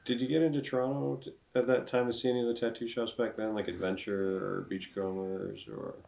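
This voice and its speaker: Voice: mid-range voice, flat delivery, fairly quick, creaky, a little mellow, low-quality recording. A podcast interviewer asking questions in a casual, conversational way.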